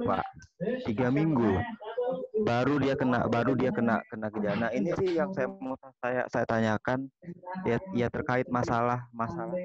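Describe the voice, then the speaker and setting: Young Indonesian man, muffled call audio. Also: medium pitch. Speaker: forum participant asking questions, student association member. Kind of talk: informal spoken question. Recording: dull, lo-fi online meeting audio.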